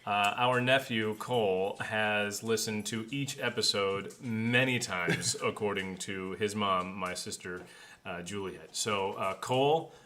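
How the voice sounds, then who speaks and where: A man's voice: lowish voice, slow pace, bright, forceful tone. A man co-hosting a podcast with casual, joking chat and a wry, mock-formal manner. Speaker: podcast co-host. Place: indoors, in one room with the co-hosts.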